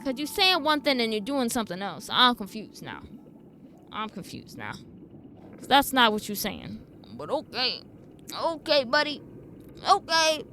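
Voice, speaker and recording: A woman's voice: fairly high pitch, big pitch swings, a little slow, long pauses, bright, forceful tone, a little hiss or hum. A woman streaming a game, giving casual, informal reaction commentary as she thinks aloud in a skeptical way.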